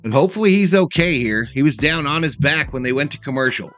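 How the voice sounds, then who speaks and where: A man's voice: a little high, quick pace, a bit bright, faint music behind. A man hosting a sports livestream, giving casual play-by-play and chatting with fans in a folksy, informal way. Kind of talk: casual play-by-play commentary and fan chat.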